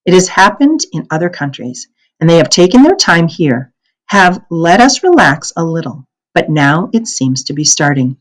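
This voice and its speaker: A woman's voice: fairly low pitch, very animated, moderate pace, low-quality recording. A woman reading a children's novel aloud as a kindly, protective young man who explains things earnestly. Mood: grave.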